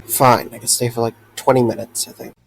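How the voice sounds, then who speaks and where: A man's voice: mid-range voice, little pitch movement, a little fast, vocal fry, background noise, natural voice. A man playing an easygoing, slightly awkward young guy in an audio drama, speaking casually and hesitantly. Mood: uneasy, reluctant. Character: easygoing, awkward young warehouse visitor, reluctant but friendly.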